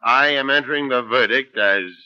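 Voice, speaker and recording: A man playing a formal, businesslike police inspector with a measured manner in old-time radio drama. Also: mid-range voice, very noisy, natural voice. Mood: official, final. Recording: dull lo-fi old radio recording.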